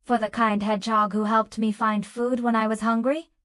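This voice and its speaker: A woman voicing a small, gentle female robin in a children's storybook, chirpy and earnest. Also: fairly high pitch, level tone, normal speed, dry recording, clean studio sound, lightly acted. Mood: grateful.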